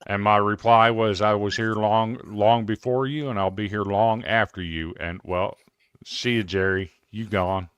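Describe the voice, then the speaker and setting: A man's voice: lowish voice, fairly even intonation, a little slow, fairly bright tone, clear, resonant tone, dry recording, muffled recording. A man in his fifties with an American accent, telling stories in casual sports talk as a show guest. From Iowa, United States. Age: 50s.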